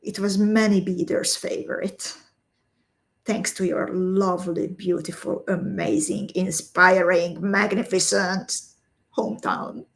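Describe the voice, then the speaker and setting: Casting note: woman, unhurried craft teacher, friendly live-stream chatter with viewers, steady mid-range voice. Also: mid-range voice, gravelly. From Slovakia. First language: Hungarian. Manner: slow, step-by-step instructions, chatting with viewers. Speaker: beading instructor.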